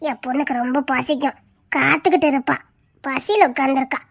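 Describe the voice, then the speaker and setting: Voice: sits high, expressive, moderate pace, bright, forceful tone, no room sound, clean studio sound, full character voice. A performer voicing an eerie old ghost grandmother who wails and repeats herself. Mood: eerie calm. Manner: wailing, moaning, repeats herself. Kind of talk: horror skit acting.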